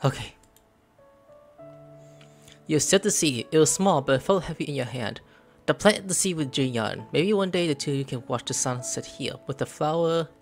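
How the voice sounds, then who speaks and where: A young man streaming a game over a headset, chatty and playful. His voice sits a bit high and swings widely in pitch. Game music plays faintly in the background. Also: moderate pace. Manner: playful, excitable, swears and shouts during fights. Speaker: gaming streamer. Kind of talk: playthrough commentary reading dialogue aloud.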